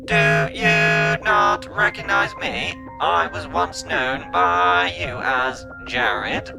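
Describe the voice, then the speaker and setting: Cold cyborg giant: extremely drawn-out delivery, heavy gravel, emotionless sci-fi menace, music underneath. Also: male voice, high-pitched, voice pushed up, exaggerated voice, poor audio. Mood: cold, commanding. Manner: slow, measured, monotone delivery of stilted phrases. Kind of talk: audiobook sci-fi character voice. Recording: music behind the voice.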